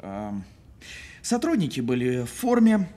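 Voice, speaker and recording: An actor playing an insecure, flustered police officer who threatens defensively and stammers in an audio drama. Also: male voice, mid-range voice, huge pitch swings, a little slow, dark tone. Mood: tense, officious. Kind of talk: naturalistic audio film drama acting.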